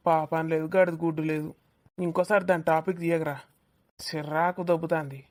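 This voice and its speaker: A woman's voice: sits low, steady tone, brisk, lightly acted. A woman voicing a grumpy, lovelorn young male student in a cartoon village comedy, with a Telangana accent and a complaining, bickering manner. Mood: irritated.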